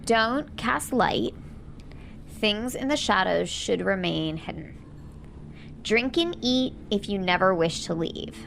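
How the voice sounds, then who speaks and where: A woman's voice: medium pitch, expressive, slow, gravelly, a bit bright, slight background noise. A woman playing a tabletop game, chatting casually and reading aloud as one of the players.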